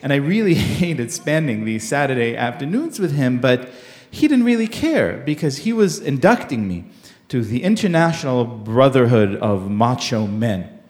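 A man from Israel telling a personal story live on a theater stage in a wry, deliberate way with pauses. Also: mid-range voice, big pitch swings, darkish tone.

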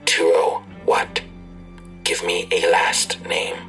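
A shadowy online entity in an audio drama, with a lowish, crackling voice and a hard, forceful edge. It speaks in terse, commanding questions, cagey and menacing throughout. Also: male voice, completely flat delivery, slow pace, vocal fry, soft background music, heavily acted. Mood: probing.

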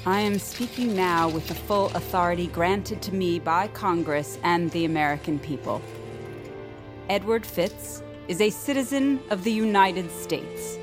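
A woman's voice: medium pitch, unhurried, natural voice. Actress as a stern female leader, music behind. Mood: stern, commanding. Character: stern, resolute female American leader issuing ultimatum. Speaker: government leader. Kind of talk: formal address, acted.

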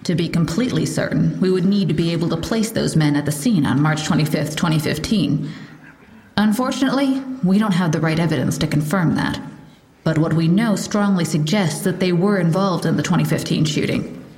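A woman's voice: fairly low pitch, a little mellow, natural voice. A woman playing a formal police lieutenant, echoey. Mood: official. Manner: formal, measured official statement. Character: composed female police lieutenant giving a press briefing. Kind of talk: audio drama acting. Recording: roomy echo.

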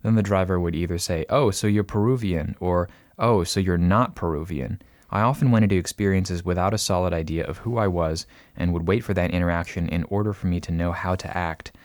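Man, podcast host, very deep voice, thoughtful, halting, dry close mic. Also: dry recording. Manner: thoughtful, hesitant with fillers. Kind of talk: casual podcast conversation, reading a listener letter.

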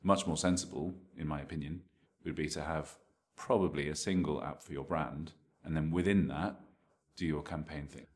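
A man giving conversational interview answers as an expert, explaining his opinions in a measured way. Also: very deep voice, fairly even intonation, darkish tone. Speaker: interviewed marketing technology expert.

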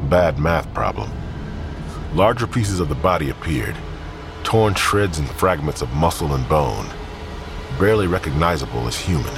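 A man narrating a crime drama in a grave, measured tone. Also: extremely low pitch, animated, over music. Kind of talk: scripted narration.

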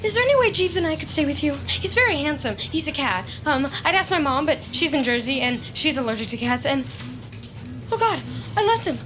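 A woman's voice: high voice, big pitch swings, a little fast, fairly bright tone, a little hiss or hum. An actress playing an anxious, obsessive young woman who talks in a nervous, stammering way in an audio drama. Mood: panicked, frightened. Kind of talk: audio fiction acting.

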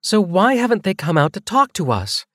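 Earnest young woman, played by a male narrator, lifted pitch, direct and challenging, close dry audiobook sound. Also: sing-song, moderate pace, no room sound. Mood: forceful, frustrated.

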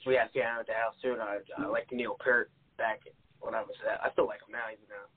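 A man's voice: fairly low pitch, fairly even intonation, fast talker, husky. A twenty-year-old man, a rock guitarist, answering interview questions in a laid-back, chatty way. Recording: tinny phone-like sound.

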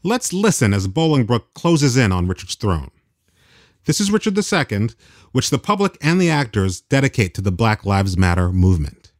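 A man's voice: fairly low pitch, big pitch swings, moderate pace, dry recording, clean studio sound. A man hosting with scripted podcast narration. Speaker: host.

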